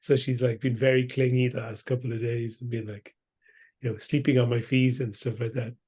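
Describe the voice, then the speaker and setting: A man hosting a podcast interview, chatting casually and warmly with his guest, often restarting his sentences. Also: medium pitch, level tone, brisk, husky. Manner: friendly, chatty, with frequent restarts. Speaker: podcast host.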